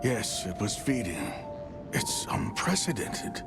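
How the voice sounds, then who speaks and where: An actor playing a grave, cautious village keeper in a game cutscene, speaking in formal, measured phrases. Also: male voice, lowish voice, sing-song. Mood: solemn, troubled. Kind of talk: dramatic video game cutscene acting. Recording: music behind the voice.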